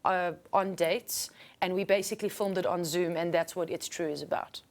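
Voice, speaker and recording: A woman with a South African accent and a low register, talking casually and earnestly as a singer being interviewed. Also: steady tone, normal speed. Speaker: singer and musician guest.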